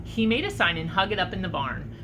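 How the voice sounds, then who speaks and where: A woman reads a children's picture book aloud in a bright, lively voice that swings widely in pitch. Her delivery is expressive and engaging, in the manner of a teacher speaking to young listeners. Faint noise sits behind her. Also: rough recording. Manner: expressive, pausing to ask listeners questions. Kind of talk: animated storybook read-aloud for children.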